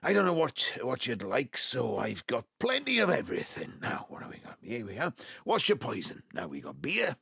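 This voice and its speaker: A man's voice: medium pitch, wildly swooping pitch, moderate pace, vocal fry, few pauses, a bit bright, husky, dry recording, studio quality, full character voice. An actor playing a folksy, jovial Welsh widower in his seventies from the Valleys, rambling and chummy, in an audio drama. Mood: jovial, flustered. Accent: South Wales Valleys Welsh. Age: 70s. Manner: rambling, chummy, groping for words. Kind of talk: naturalistic audio drama acting.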